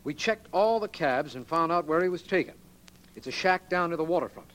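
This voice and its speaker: An actor playing a businesslike district attorney, crisp and official, in 1940s radio style. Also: male voice, on the high side, expressive, brisk, a bit bright. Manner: crisp, official, matter-of-fact. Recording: noisy old 1940s radio recording.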